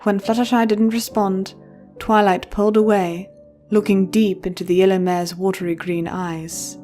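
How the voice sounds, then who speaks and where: A woman's voice: mid-range voice, little pitch movement, moderate pace, mellow, soft background music. Casting: woman storyteller for narrated fiction, mid-range voice, light musical backing.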